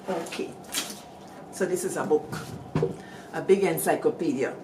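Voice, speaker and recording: Guyanese woman, middle-aged, animated storyteller, lowish voice, faint background hiss. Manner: lively, animated storytelling with call-outs to listeners. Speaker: storyteller host. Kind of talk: storytelling talk.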